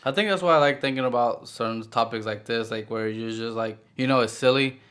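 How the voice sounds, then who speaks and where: A young man with a smooth, clean voice at an average pitch talks over a casual podcast chat. He wanders through his thoughts, leaning on filler words as he works out ideas with genuine curiosity. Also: fairly quick, fairly bright tone. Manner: rambling, full of "like" fillers, curious. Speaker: podcast co-host. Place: home podcast setup.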